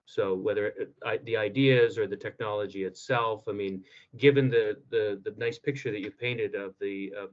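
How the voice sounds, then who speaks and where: A man's voice: mid-range voice, muffled recording. A man asking a conference presenter a question, tentative and hedging, often correcting himself. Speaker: conference discussant.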